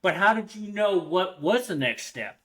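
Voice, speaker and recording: A man with a notably high voice hosts a podcast interview. He asks loose, rambling questions and fills the gaps with short nods of agreement. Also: animated, moderate pace, fairly bright tone. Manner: friendly, many short backchannels and fillers. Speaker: podcast host. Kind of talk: casual interview.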